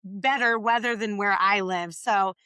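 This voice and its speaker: Slightly raspy, enthusiastic woman. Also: medium pitch, measured pace, sharp tone, no room sound, studio quality. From California. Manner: friendly, enthusiastic, rambling. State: losing her voice from overuse. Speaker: podcast co-host, rules director. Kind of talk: casual podcast chat.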